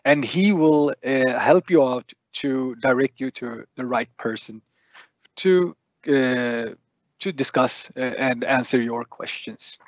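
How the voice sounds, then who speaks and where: A man's voice: mid-range voice, slow, no room sound. A man in his thirties whose native tongue is Swedish, speaking for a bank on a webinar through a headset, hesitant with fillers. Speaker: bank representative. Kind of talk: webinar answer. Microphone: headset on video call. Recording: dry, dull headset audio.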